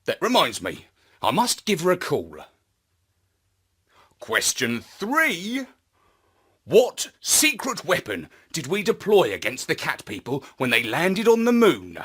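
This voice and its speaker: Slow, highly animated male storyteller. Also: husky. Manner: theatrical, deliberate, expressive. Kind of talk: scripted children's story narration in first person.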